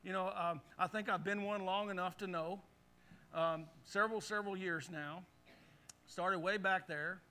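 A middle-aged male pastor preaching a sermon in a church, leading the congregation in call-and-response with animated, forceful delivery. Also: sits high, moderate pace. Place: church sanctuary. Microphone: handheld or headset mic.

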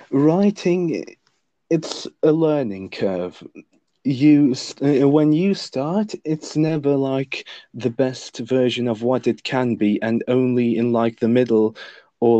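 A man's voice: medium pitch, dark tone, no room sound, lo-fi sound. A young man whose first language is Lithuanian, a guest author on a podcast, who answers hesitantly with many fillers and restarts. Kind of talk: podcast interview.

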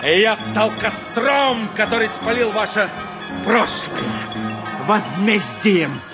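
An actor playing a wild, vengeful pirate captain in a theatrical, exultant radio drama performance. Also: male voice, high voice, full character voice. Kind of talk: Soviet radio drama acting. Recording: music behind the voice.

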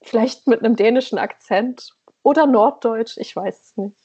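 A woman's voice: a little high, moderate pace, dark tone, slightly rough, highs cut off. A woman chatting hesitantly as an interview guest over an internet call, often searching for words. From Germany. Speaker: podcast guest, emigrant blogger. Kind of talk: casual conversational interview.

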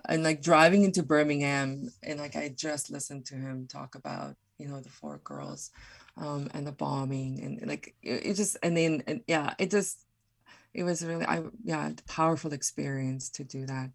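A woman, a guest on a podcast, talking reflectively and haltingly in a casual conversation. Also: sits low, gravelly. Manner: halting, reflective, many fillers and restarts.

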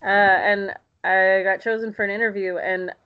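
A woman's voice: medium pitch, level tone, normal speed, muffled recording, a little hiss or hum. A woman from the United States, talking casually and enthusiastically as an interview guest, rambling with frequent ums. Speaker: guest, literature lecturer.

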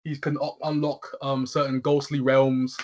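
A man co-hosting a show, talking casually in an excitable, slangy way. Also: mid-range voice, moderate pace, a little hiss or hum. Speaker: co-host reviewing shows. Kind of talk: casual chat.

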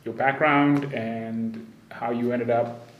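A man's voice: medium pitch, fairly even intonation, slow pace, lo-fi sound, slight background noise. A man from Kenya hosting a casual podcast interview, chatty and upbeat, often restarting his sentences. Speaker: podcast host. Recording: roomy sound with faint ambience.

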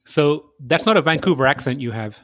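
Friendly podcast host from London. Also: male voice, a little high, animated, a little fast, fairly bright tone. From Southeast London. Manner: friendly and conversational, reads the introduction. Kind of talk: podcast interview.

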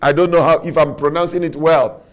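A man preaching a sermon with a Nigerian accent, emphatic and repetitive, spelling out words and rising to shouts. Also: on the high side, steady tone, a little fast. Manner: rises to shouts. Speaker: pastor.

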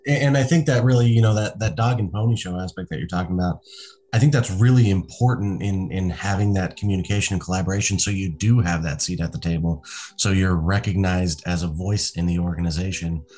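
Podcast co-host guy, really deep voice, trips over his first words a lot. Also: a little mellow. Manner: halting restarts, builds on the guest's point. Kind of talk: conversational interview reply.

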